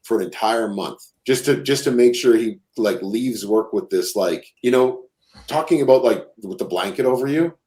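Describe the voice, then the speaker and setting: A man's voice: medium pitch, moderate pace, dark tone. A man in his forties hosting a podcast, talking candidly and casually, swearing freely and laughing along. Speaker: podcast host. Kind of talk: casual conversational interview. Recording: roomy echo.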